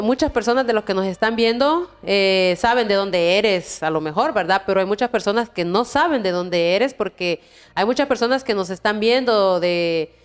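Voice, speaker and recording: Woman host of a church testimony show, clean smooth voice, soft music under it, keeps checking in with "right?". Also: mid-range voice. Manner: warm preachy phrasing with frequent "¿verdad?" prompts.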